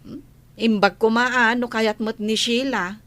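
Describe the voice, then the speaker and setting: A woman's voice: on the high side, fairly even intonation, unhurried, fairly bright tone. An actress playing a practical village woman in an Ilocano radio comedy drama, with an Ilocano accent. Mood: matter-of-fact.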